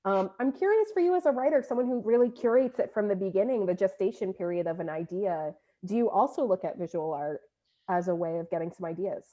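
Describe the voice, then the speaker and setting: Female interviewer and director, mid-pitched and mellow, informal conversational phrasing, slightly dull recording. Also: animated, fast talker. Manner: informal, uses "like" often. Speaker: theatre director and producer, interviewer. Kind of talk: casual panel conversation, posing questions.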